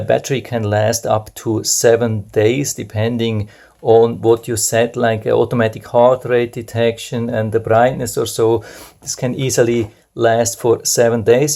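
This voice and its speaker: A man with a creaky, gravelly voice talks through a casual product demonstration. His intonation stays very even and monotone. He explains in an unscripted way, often circling back to repeat a point. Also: medium pitch. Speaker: product reviewer and video host.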